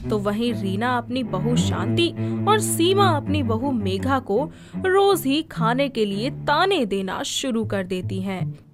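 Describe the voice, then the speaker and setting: A woman narrating a scripted moral family story. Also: fairly high pitch. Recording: music behind the voice. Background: background music.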